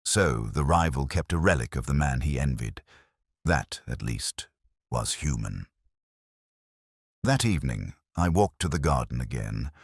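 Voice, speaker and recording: A man playing a world-weary police inspector, recounting a case in measured, literary, reflective detective narration. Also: extremely low pitch, lively intonation, creaky, breathy edge, no room sound, studio quality, full character voice. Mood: somber, watchful. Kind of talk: scripted first-person detective story narration.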